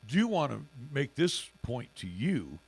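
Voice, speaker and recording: A middle-aged man co-hosting a local radio show in casual chat, with a raspy, gravelly voice and halting speech full of fillers. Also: mid-range voice, sing-song. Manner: halting, many fillers and restarts. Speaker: radio station owner and co-host.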